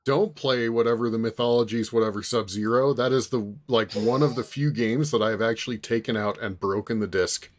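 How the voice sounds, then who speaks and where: A man with a medium-pitched voice hosts a relaxed panel podcast. He feels his way through sentences with hesitant, meandering phrasing. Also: fairly quick, hardly any pauses. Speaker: podcast host. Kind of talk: casual panel chat.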